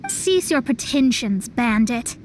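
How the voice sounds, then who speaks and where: An actress voicing a haughty, sharp-witted young woman astrologist in a video game, with an imperious, articulate, formal delivery. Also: a little high, animated, slow, slight background noise. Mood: scornful.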